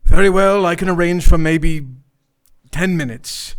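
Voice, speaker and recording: Man playing a formal, higher-voiced wealthy gentleman. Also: fairly even intonation, vocal fry, clean tone. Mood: reluctant. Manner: formal, measured. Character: formal, guarded well-to-do father, detective's client. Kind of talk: noir radio play acting.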